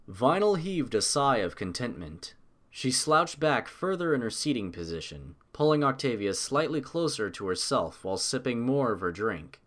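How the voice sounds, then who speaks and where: A man's voice: on the low side, a bit bright. A man reading scripted fiction as the narrator of an audio story.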